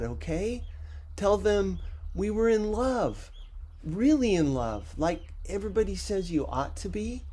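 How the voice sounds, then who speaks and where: Guy reading as teen rebel ghost boy in love, pleading and halting, voice pushed up high, audiobook story. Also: dark tone, slightly rough, full character voice.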